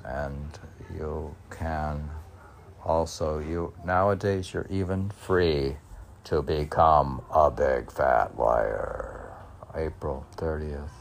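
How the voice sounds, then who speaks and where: A man with a gravelly voice reads and comments on poetry in a rambling, halting, self-questioning way, teasing as he goes. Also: very deep voice, slight background noise. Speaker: poet.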